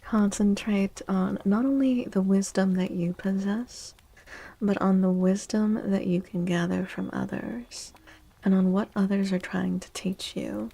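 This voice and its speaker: Female ASMR streamer: soft, mellow and unhurried, with playful, mock-dramatic teasing. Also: medium pitch, creaky, husky, poor audio. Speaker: livestreamer doing tarot readings for chat.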